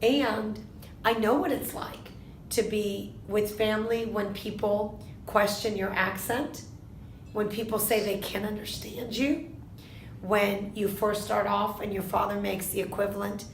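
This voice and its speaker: Argentine-born woman in her fifties, gravelly. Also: mid-range voice, steady tone, reverberant, a little hiss or hum, rough recording. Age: 50s. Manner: measured, asks herself questions and answers them. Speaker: interviewee, lawyer. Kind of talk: reflective interview answers.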